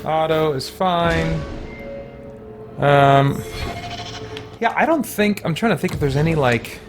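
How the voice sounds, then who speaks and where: A man giving casual gameplay commentary as a streamer, musing to himself as he goes. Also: a little high. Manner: thinking aloud, musing to himself. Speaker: game streamer.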